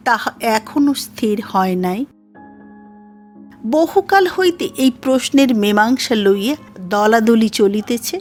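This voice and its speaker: High-pitched woman reading slowly. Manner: measured, formal literary reading. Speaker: audiobook narrator. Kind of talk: scripted essay narration.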